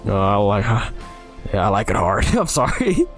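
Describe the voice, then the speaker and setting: A man's voice: fairly low pitch, big pitch swings, moderate pace, faint music behind. A man casually playing through a dating game on his channel, joking and swearing as he reads. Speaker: gaming video creator.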